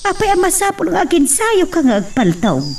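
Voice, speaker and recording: A woman's voice: very high voice, sing-song, brisk, darkish tone, distant mic, slight background noise, heavily acted. A woman voicing a worried mother scolding her son.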